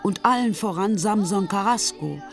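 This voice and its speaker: A woman's voice: medium pitch, expressive, moderate pace, over music. A woman reading scripted literary narration for a radio play.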